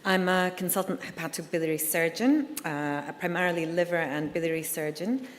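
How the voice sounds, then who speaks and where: A middle-aged woman with a Scottish accent gives a conference presentation, her voice sitting low. She talks candidly, with plenty of ums and uhs. Her words carry with a lingering reverb and faint background noise. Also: brisk. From Scotland. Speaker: liver surgeon giving a conference talk. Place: conference hall. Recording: reverberant hall.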